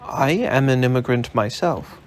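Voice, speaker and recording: A man's voice: mid-range voice, animated, a little slow, close to an everyday voice. A man reading aloud, voicing a formal, genteel older male inventor with dry amusement.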